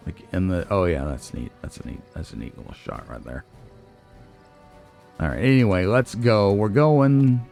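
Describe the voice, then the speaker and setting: A man with a low voice and lively, swinging intonation hosts a casual game playthrough. He muses to himself in a self-deprecating way, with music playing behind him. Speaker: gaming video host, let's player.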